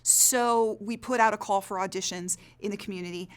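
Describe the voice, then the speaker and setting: A woman's voice: mid-range voice, fairly even intonation, a little fast, clean tone. A woman, a drama teacher and director, talking in an interview in an enthusiastic, emphatic way. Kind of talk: interview recollection.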